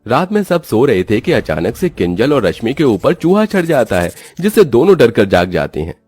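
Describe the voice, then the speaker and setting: A man's voice: mid-range voice, big pitch swings, moderate pace, darkish tone, husky, dry recording. A man reading scripted narration for a children's cartoon story as its narrator.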